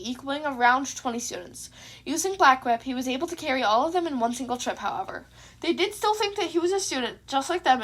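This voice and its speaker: A young adult woman narrating her own fan fiction and chatting casually, with an informal, expressive manner. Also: sits high. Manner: informal, sweary.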